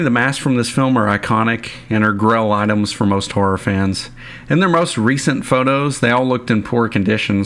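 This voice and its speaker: Man narrating a video in a low voice. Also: a bit bright. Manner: plain, informal, reading from notes. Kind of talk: scripted voice-over narration.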